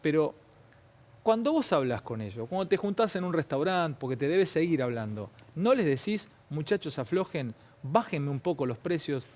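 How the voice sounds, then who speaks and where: Chatty Argentine TV host. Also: male voice, on the high side, big pitch swings, moderate pace, darkish tone. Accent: Argentine Spanish. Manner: chatty, colloquial, pushy questioning with slang. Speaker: TV talk show host. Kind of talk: informal TV interview. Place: TV studio.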